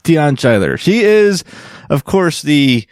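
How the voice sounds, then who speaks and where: A man's voice: fairly high pitch, big pitch swings, slow, dry recording, studio quality. A man co-hosting a podcast, chatting casually with wry, crude humour. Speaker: podcast co-host. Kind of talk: casual joking panel chat.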